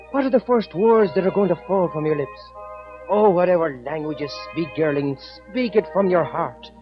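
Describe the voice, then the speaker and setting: Brief: an earnest young Irishman, pleading and warm-hearted; muffled vintage radio drama with soft music. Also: sits high. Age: young adult. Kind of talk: 1940s radio acting. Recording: dull old radio recording, faint music.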